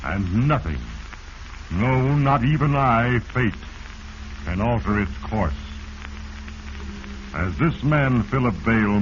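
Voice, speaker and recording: Ominous, slow, low-voiced Fate. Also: male voice. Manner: ominous, portentous, theatrical. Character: Fate, solemn all-knowing narrator reading men's doomed lives. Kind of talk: 1940s radio drama narration. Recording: muffled, hissy 1940s radio recording.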